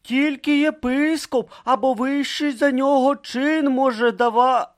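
A man's voice: very high voice, steady tone, unhurried, pitched above the performer's own voice. A man voicing a timid, earnest young male novice monk who speaks hesitantly and anxiously.

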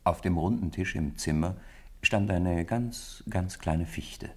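A man narrating a 1950s radio play in a calm, measured storybook manner. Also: deep voice, husky. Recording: 1950s radio studio recording.

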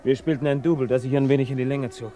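Actor as brooding, doubting scientist, dark mellow voice. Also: male voice, medium pitch, steady tone, rapid-fire, slight background noise, lightly acted. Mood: guarded, curious. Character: brooding, conscience-torn male scientist, bitter and doubting. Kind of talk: German radio drama acting.

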